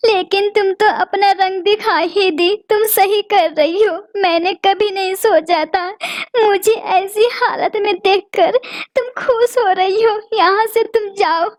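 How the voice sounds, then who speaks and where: Woman voicing a tearful, very high childless sparrow. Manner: pleading, sobbing. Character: sad childless female sparrow, tearful and quick to anger. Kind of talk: cartoon voice acting.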